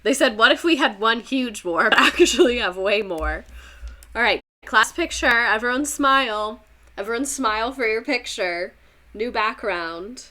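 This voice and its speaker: A woman's voice: on the high side, animated, unhurried, bright, forceful tone. A young woman teacher with a Southern US accent, giving a rambling, chatty history lesson on a livestream, full of fillers and laughs.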